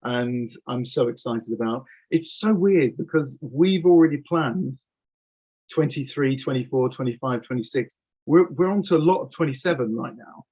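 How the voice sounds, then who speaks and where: Guy in his 50s chatting on a video call interview, kinda muffled audio, keen storyteller, normal pitch. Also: moderate pace, warm tone, breathy edge. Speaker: audio drama producer, interview guest. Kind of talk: casual interview conversation. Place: home office with bookcases. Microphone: computer mic on video call.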